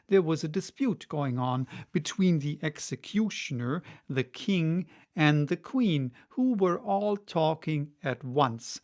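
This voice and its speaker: Higher-pitched man reading a storybook. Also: creaky, a little mellow. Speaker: audiobook narrator. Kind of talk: storybook narration, read aloud.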